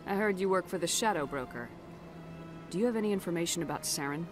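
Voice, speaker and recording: A woman's voice: lowish voice, lively intonation, fast, close to an everyday voice. Woman as composed, direct military heroine. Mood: direct, businesslike. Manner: direct, firm. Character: composed, assertive female military hero, fair-minded. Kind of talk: video game character acting. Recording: game music behind the voice.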